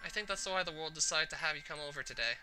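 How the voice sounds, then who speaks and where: A woman's voice: deep voice, very fast, over music, natural voice. A woman voicing a sad, fragile teenage anime girl in a visual novel, hesitant and self-blaming behind a forced smile. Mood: guilty, dejected. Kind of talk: visual novel character voice-acting.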